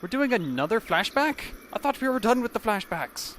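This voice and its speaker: Higher-pitched man casually commentating a game. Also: sing-song, normal speed, a bit bright, slight background noise. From Canada. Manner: reacts offhandedly to the game. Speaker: let's play commentator.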